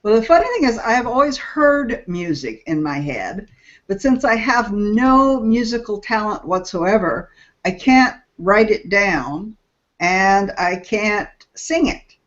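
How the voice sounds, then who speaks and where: A chatty woman hosts an interview and tells stories at her own expense. Her voice sits at a middle pitch, with muffled, lo-fi audio. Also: animated, slow pace. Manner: chatty, self-deprecating storyteller. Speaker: podcast host. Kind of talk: casual interview chat. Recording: dull lo-fi sound.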